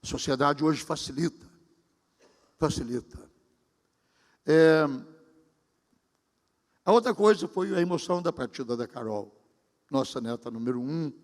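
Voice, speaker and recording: A man's voice: on the high side, lively intonation, unhurried, long pauses. A pastor in his sixties giving a sermon, talking conversationally and speaking directly to his congregation. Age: 60s. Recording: long hall reverb.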